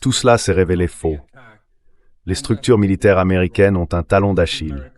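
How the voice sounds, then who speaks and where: A man delivering a measured, explanatory political analysis as an interview guest, in a dubbed translation. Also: deep voice, a little mellow.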